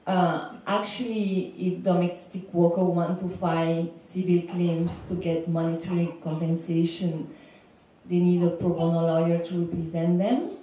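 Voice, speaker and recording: Halting Belgian woman in an echoey room. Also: fairly low pitch, flat delivery, mellow. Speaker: legal consultant, panel speaker. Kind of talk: semi-prepared panel speech. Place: indoor lecture room panel. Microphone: handheld microphone, recorded by far camera. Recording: echoey room, distant, faint background noise.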